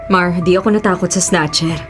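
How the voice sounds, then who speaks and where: A woman's voice: on the low side, a little fast, over music, lightly acted. A woman playing a resolute, guarded policewoman and wife in a Filipino radio drama.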